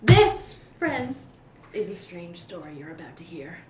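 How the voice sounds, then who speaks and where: A woman's voice: fairly low pitch, big pitch swings, unhurried, voice pushed down. Woman playing an earnest male reporter, echoey. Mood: grave, confiding. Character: earnest male newspaper reporter with a grave secret. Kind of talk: 1940s radio acting. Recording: echoey room, muffled, noticeable noise.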